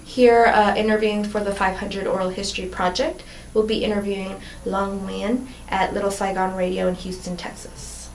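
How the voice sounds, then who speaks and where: A woman conducting an oral history interview, asking questions and answering with short, gentle acknowledgements. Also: mid-range voice, little pitch movement, normal speed, few pauses, a little hiss or hum, poor audio.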